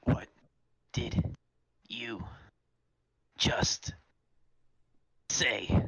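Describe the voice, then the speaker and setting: A performer voicing a soft-spoken, self-deprecating young man obsessed with hope, with breathy, fawning, lingering delivery, in a fan fiction reading. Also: mid-range voice, fairly even intonation, very slow, dry recording, exaggerated voice. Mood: menacing.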